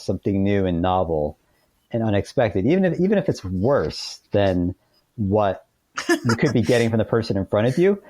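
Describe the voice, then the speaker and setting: A man's voice: fairly low pitch, warm tone, rough recording. A man speaking as an interview guest, explaining things casually through informal anecdotes. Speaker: podcast guest, author.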